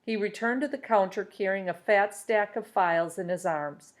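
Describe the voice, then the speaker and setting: A woman reads a story aloud for young listeners at a medium pitch. She narrates in an expressive storytelling style, giving shape to each sentence. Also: moderate pace. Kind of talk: expressive audiobook-style story reading for children.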